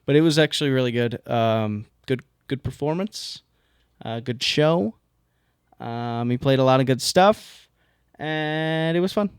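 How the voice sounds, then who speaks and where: A young man chats loosely with his co-host, at an ordinary middle pitch. He ribs his friend and rambles through his week. The sound is close and dry, with no room in it. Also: unhurried, clean tone, no echo. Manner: joking, trash-talking, laid-back. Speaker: podcast co-host. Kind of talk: casual banter.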